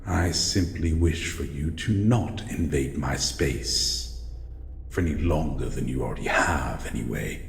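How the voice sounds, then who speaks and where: A man voicing a grumpy, wary shadow monster in an audio roleplay, speaking in a halting, brooding way as it guards its old house. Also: a bass voice, gravelly, soft background music, heavily acted. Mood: cold, menacing. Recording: echoey hall reverb, faint background noise.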